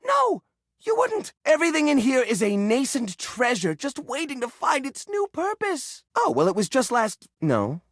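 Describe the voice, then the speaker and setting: A man voicing an eccentric, cheerful hoarder in a video game, theatrical and excitable, rambling like a proud tour guide. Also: extremely high pitch, normal speed, no echo, clean studio sound, full character voice. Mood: offended, emphatic, then wistful, sheepish. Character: eccentric, cheerful male hoarder personifying a junk drawer. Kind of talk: animated video game voice acting.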